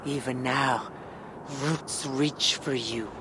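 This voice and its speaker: A woman playing a cold, knowing, menacing otherworldly being in a dramatic video game cutscene, speaking in a measured, taunting way. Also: extremely low pitch, steady tone, background noise. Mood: ominous.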